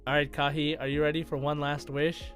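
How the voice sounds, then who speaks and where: A man's voice: fairly high pitch, level tone, brisk, a bit bright, soft background music. A man hosting a gaming podcast in a casual, chatty style. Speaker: podcast co-host.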